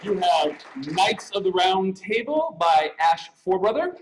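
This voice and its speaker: Male co-presenter, very high animated voice, joking casually on a slightly noisy stage mic. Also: a little slow, crowd noise. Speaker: award co-presenter. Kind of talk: casual live banter. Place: live awards ceremony stage.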